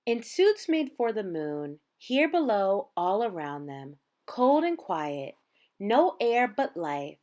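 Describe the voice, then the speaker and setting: A woman reads a children's storybook aloud in a medium-pitched voice. Her delivery is slow and measured, with dramatic phrasing. The melody moves widely up and down, and she stretches out certain words. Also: sing-song, a little mellow. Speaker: storybook reader. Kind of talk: expressive read-aloud of a children's picture book.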